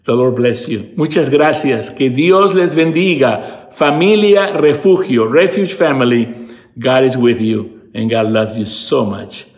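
A man in his sixties, from Peru, speaks in an earnest devotional preaching style. His voice sits at a middle pitch and often climbs to fervent emphatic peaks. The sound carries the echo of a reverberant room. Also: unhurried. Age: 60s. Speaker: pastor. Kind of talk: devotional sermon. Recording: echoey room.

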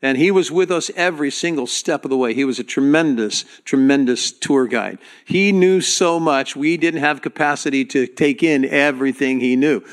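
Earnest man preaching to his church. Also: mid-range voice. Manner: earnest, direct, with halting restarts. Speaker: pastor. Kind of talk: sermon.

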